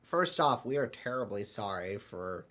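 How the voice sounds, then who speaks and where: A man playing an excitable, apologetic multiverse traveler in an audio drama, stammering and fussing through his lines. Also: lowish voice, a little slow. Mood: flustered, apologetic. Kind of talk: hobby audio drama dialogue.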